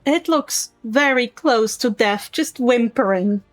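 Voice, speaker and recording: A woman's voice: fairly high pitch, animated, takes it slowly, no room sound, soft background music. A woman narrating a tabletop game as game master, describing scenes and explaining rules patiently.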